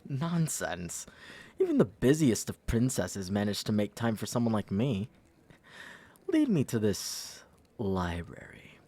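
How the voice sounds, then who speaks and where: A man's voice: fairly low pitch, sing-song, slow pace, warm tone, heavily acted. A man playing a pompous, entitled young prince in a fantasy audio drama, haughty and condescending. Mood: smug. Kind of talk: fantasy audio roleplay acting.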